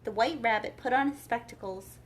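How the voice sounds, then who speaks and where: A woman narrating a children's storybook aloud as a library storyteller, in an expressive read-aloud style. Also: fairly high pitch, fairly quick.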